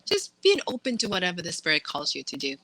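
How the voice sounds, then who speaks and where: A woman from Massachusetts, an author being interviewed, talking in a lively way and laughing as she acts out quotes. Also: fairly low pitch, quick pace, no room sound. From Massachusetts, USA. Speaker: author guest. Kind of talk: casual interview chat.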